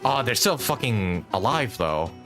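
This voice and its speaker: A man's voice: medium pitch, very animated, unhurried, over music. A man doing casual live commentary as a game streamer, thinking aloud as he plays and swearing casually.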